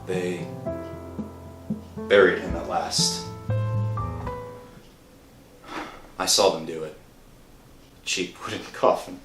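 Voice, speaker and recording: A man's voice: deep voice, steady tone, takes it slowly. An actor playing a desperate, guilt-ridden young man in a melodramatic 1960s radio thriller. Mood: hollow despair. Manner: melodramatic, anguished, swinging from hushed to shouting. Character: desperate, guilt-ridden poor young man, thief, narrating his ordeal. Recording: roomy old radio recording with music.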